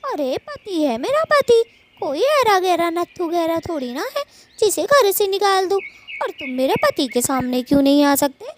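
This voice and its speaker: A woman's voice: extremely high pitch, big pitch swings, moderate pace, very noisy. A woman voicing an indignant married female bird in a Hindi cartoon story, scolding and nagging. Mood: offended.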